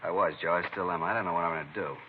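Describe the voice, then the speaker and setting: A man plays a helpless, distraught American father in a vintage radio drama. His voice is very low and his speech halting and troubled. The recording is muffled, with faint noise. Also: steady tone, close to an everyday voice. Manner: halting, upset. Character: distraught middle-aged father, anguished and helpless. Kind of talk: 1950s radio drama acting.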